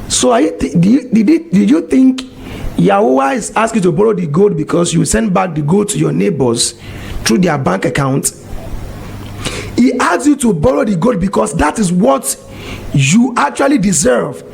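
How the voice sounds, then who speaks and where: Gravelly high-voiced man preaching. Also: lively intonation, normal speed, a little mellow, slight background noise, poor audio. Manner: exhorting, rhetorical questions. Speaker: religious commentator. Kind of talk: preaching monologue.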